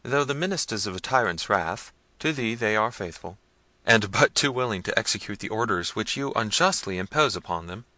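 Calm young peasant, firm and dignified, read by a man, bright clear tone. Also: fairly low pitch, normal speed, no echo. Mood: steady.

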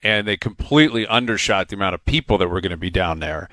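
A man hosts a sports talk radio show, his voice sitting low and close on a dry studio mic. He talks in a quick, chatty, rambling way, rolling from one story into the next. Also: sharp tone, no echo.

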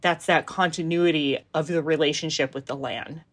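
A woman's voice: low voice, steady tone, moderate pace, a bit bright. An American woman in her thirties from Hawaii, telling personal stories in a casual, reflective, conversational way in an interview. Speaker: interviewee, hiker and educator.

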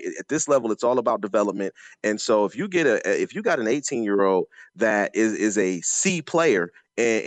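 A man's voice: medium pitch, no room sound. A man giving casual, conversational sports analysis on a podcast.

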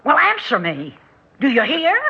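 Husky, cutting American matriarch, imperious and insistent, animated pitch, 1960s radio drama. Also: female voice, measured pace, exaggerated voice. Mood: commanding. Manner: commanding, sharp, insistent. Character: imperious, steely aunt who commands the family. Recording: dull 1960s radio recording.

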